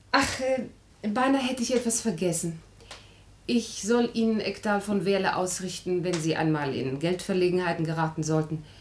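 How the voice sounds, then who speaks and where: A woman's voice: lowish voice, roomy sound, slight background noise, close to an everyday voice. An actress playing a frank, composed, practical woman in a radio play, matter-of-fact and articulate. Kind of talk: classic German radio play acting.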